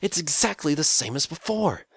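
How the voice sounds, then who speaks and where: A man's voice: on the high side, animated, normal speed, fairly bright tone, breathy edge, dry recording, pitched above the performer's own voice, heavily acted. A man voicing a practical, easygoing male handyman pony who talks in a casual, wry and matter-of-fact way.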